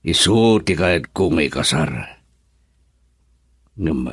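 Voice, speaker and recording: A man's voice: low voice, animated, takes it slowly, a bit bright, slightly rough, dry recording, clean studio sound, full character voice. An actor playing a lovestruck, wistful businessman in an Ilocano radio drama. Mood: wistful musing. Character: lovestruck businessman wistfully pining for a lost love.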